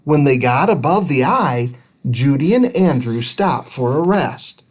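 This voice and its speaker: A woman reading a children's storybook aloud as an expressive narrator. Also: a bass voice, unhurried, husky. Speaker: storybook reader.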